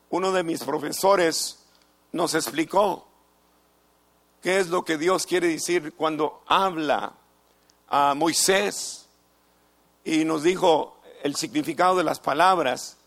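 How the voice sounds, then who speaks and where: A middle-aged man from the United States preaching a sermon in a slow, deliberate way, repeating key phrases and pausing between them. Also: a little high, fairly bright tone.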